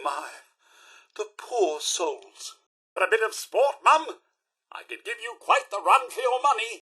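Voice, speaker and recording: A man playing a fussy, posh and polite robot butler in a video game, with a British accent, raspy voice and formal, florid delivery. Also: a little high, sharp tone, full character voice. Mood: chipper, eager. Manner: formal, florid, servile diction with dry wit. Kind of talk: theatrical video game character acting.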